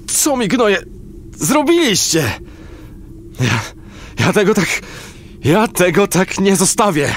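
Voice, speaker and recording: Defiant veteran commando for audio drama, emotional, very animated intonation, slow halting delivery. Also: male voice, high voice, a little hiss or hum, heavily acted. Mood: furious. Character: defiant, distrustful veteran male commando, hot-tempered. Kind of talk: sci-fi audio drama acting.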